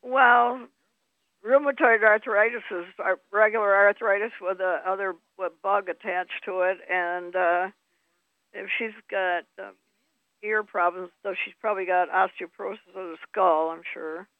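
A woman co-hosting a radio health show, giving steady, matter-of-fact advice. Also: medium pitch, normal speed, no echo. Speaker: co-host advisor. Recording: tinny phone line.

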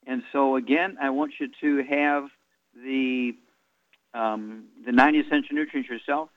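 Rambling eighty-year-old radio host. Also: male voice, medium pitch, fairly even intonation, measured pace, narrow, tinny audio. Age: 80s. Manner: rambling, repetitive, with fillers. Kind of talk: radio talk and product pitch.

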